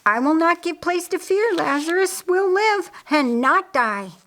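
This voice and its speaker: A woman's voice: very high voice, animated, unhurried, a bit bright, voice pushed up, heavily acted. A woman voicing a frantic young woman who fears for her brother, urgent and emphatic, in an audiobook. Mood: defiant.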